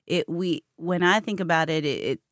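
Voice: medium pitch, moderate pace, a bit bright, dry recording, studio quality. An interviewer asking long, meandering questions with many fillers and restarts. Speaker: interviewer, host. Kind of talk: conversational interview.

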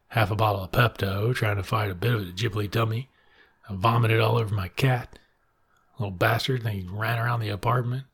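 A middle-aged American man from Mississippi with a husky voice, giving comic commentary in an irreverent, sardonic, swearing manner. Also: on the low side. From Mississippi, United States.

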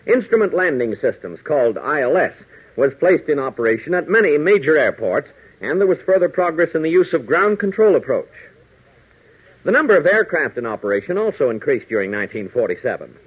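A man's voice: on the high side, sing-song, a little fast, slightly rough. A man announcing and narrating a scripted 1940s radio adventure serial with emphatic, formal delivery. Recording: dull, worn 1940s radio recording.

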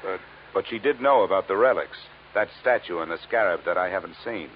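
Man playing tough radio detective, low pitch, clipped, world-weary, noisy vintage recording. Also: a little fast, narrow, tinny audio, lightly acted. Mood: skeptical, probing. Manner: hard-boiled, dry, clipped. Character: tough, skeptical male insurance investigator, world-weary narrator. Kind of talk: 1940s-50s radio detective drama acting.

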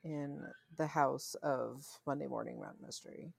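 This is a woman with a low-pitched voice and plenty of fry in it, recorded close and dry. She co-hosts a podcast and chats casually, bluntly and with frequent swearing. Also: unhurried, dry recording. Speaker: podcast co-host. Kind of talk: casual chat.

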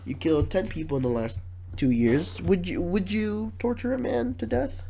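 A man's voice: sits high, moderate pace, creaky, mellow, a little hiss or hum. A man with a nasal, congested voice, hosting a casual podcast and reading questions aloud between jokes and laughs. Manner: jokey, laughs often, reads questions aloud. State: congested, sick. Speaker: podcast host.

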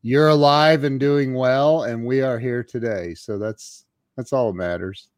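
A man's voice: medium pitch, measured pace, no echo, studio quality. A man hosting an interview show, casual and enthusiastic, often repeating words as he goes. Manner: enthusiastic, repeats words and says wow a lot. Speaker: show host.

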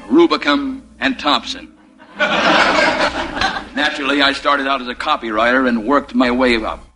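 An actor playing a flustered, touchy werewolf who poses as a slick advertising executive, hemming and clearing his throat in a radio comedy sketch. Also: mid-range voice, pushed and bright. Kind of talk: 1950s radio comedy sketch acting and narration. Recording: noisy vintage 1950s radio recording.

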